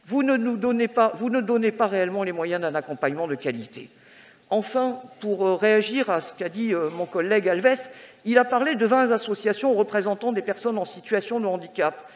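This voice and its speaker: A woman's voice: mid-range voice, fairly quick. A woman reading a prepared, formal and critical political speech as a councillor at a council meeting. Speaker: metropolitan councillor. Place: large indoor council chamber. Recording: reverberant council hall sound.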